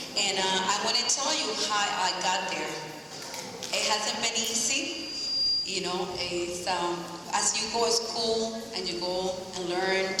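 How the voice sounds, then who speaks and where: A woman in her forties from Rico, giving an earnest motivational speech to students and quizzing the audience. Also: medium pitch. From Puerto Rico. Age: 40s. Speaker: guest speaker. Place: large school hall. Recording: echoey hall from far off, faint hiss.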